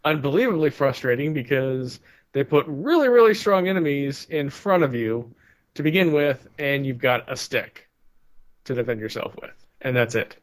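A man's voice: medium pitch, expressive, normal speed. A man co-hosting a casual podcast chat, talking in an emphatic, exasperated way. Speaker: podcast co-host.

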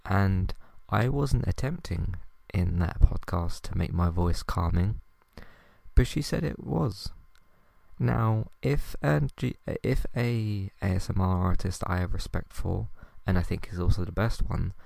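A young man hosting a podcast, talking softly and hesitantly with many ums as he tries out ASMR for the first time. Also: low voice, unhurried, vocal fry, no echo. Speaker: podcast host. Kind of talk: first attempt at ASMR, quiet podcast chat.

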